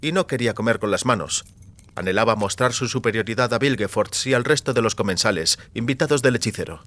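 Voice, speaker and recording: A man's voice: medium pitch, fast, fairly bright tone. A man reading scripted narration for a fantasy audiobook.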